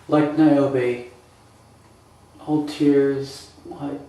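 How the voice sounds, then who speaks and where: A young man playing an anguished, brooding prince in mourning in a slow theatrical Shakespearean monologue, with deliberate, dramatic pauses. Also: mid-range voice, level tone, warm tone. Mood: bitter grief. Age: young adult. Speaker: student actor performing monologues. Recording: roomy echo, distant mic, faint noise.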